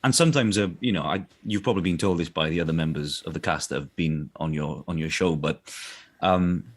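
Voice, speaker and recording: A man's voice: deep voice, a little fast. A man with a South Welsh accent, a voice actor chatting as an interview guest, full of restarts and "do you know what I mean". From South Wales. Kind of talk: casual interview chat.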